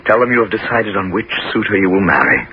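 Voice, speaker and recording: An actor playing a commanding middle-aged warrior king in a 1970s radio drama, with deliberate, measured dramatic phrasing. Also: deep voice, lively intonation, slightly rough, full character voice. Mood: reassuring. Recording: muffled, very noisy 1970s radio recording.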